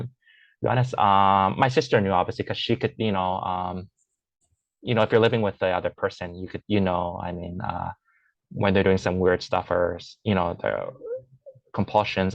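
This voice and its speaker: A man's voice: low voice, no room sound, lo-fi sound. A man in a casual interview, talking hesitantly with lots of fillers and restarts. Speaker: podcast guest.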